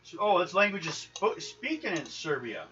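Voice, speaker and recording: A man running a tabletop game as game master, narrating and chatting casually in a halting, rambling way. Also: high-pitched, big pitch swings, pushed and bright, distant mic, faint music behind. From United States. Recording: dull lo-fi live recording with hiss.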